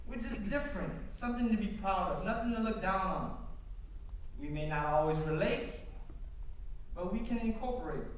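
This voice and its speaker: A young man with a strikingly high voice performs spoken word poetry, rolling out rhymes and punchy wordplay in a rhythmic delivery. He is picked up from far off in a very echoey hall, with clear room noise and audience ambience behind him. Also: normal speed, long pauses. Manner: casual, joking asides to the crowd. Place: stage at a campus culture event. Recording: echoey hall, distant mic, background noise. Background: audience ambience and laughter.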